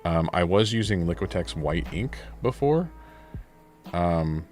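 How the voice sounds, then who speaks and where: A man chatting casually during a livestream while he paints miniatures, relaxed and rambling, often correcting himself. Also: sits low, slow pace, faint music behind.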